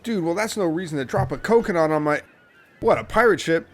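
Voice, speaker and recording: A man's voice: a little high, expressive, fairly quick, natural voice. A man playing a put-upon, grumbling castaway in an amateur comedy audio drama, exasperated and colloquial. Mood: startled, annoyed.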